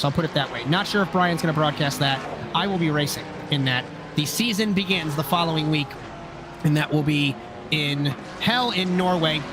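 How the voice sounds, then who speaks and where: A man with a slightly high, raspy voice, hoarse from a sinus infection. He calls a sim race play by play, chatty and loose, and often corrects himself mid-sentence. Loud music plays underneath him. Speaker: lead commentator. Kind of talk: sim racing play-by-play commentary.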